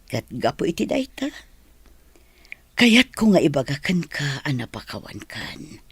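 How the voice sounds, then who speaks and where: An actress playing a gentle, forgiving married teacher in a radio drama, speaking softly and earnestly. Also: female voice, low voice, very animated, unhurried, slightly rough, no echo, exaggerated voice. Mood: tender, forgiving.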